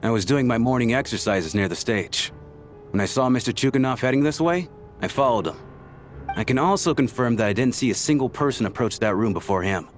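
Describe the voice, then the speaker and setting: Man voicing a composed witness, matter-of-fact, steady, animated intonation. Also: mid-range voice, brisk, soft background music, natural voice. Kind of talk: game voice acting.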